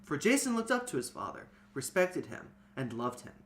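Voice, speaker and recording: A man's voice: a little high, big pitch swings, moderate pace, fairly bright tone. A man reading a story aloud as host, with dramatic emphasis, swelling and then trailing off. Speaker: host and reader. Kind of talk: expressive read-aloud storytelling.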